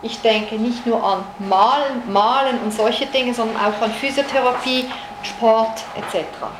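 A woman's voice: on the high side, a little slow. A woman psychologist from Switzerland giving an explanatory lecture, illustrating her points with first-person examples. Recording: echoey room recording with noise.

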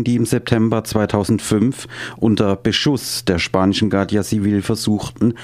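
A man's voice: on the low side, darkish tone. A man reading scripted narration and voice-over for a radio documentary.

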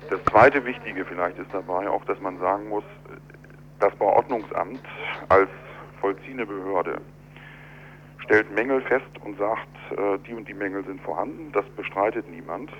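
A man speaking as an architect in a telephone interview, explaining in a measured, deliberate, argumentative way. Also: lowish voice. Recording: dull telephone line with clear hum.

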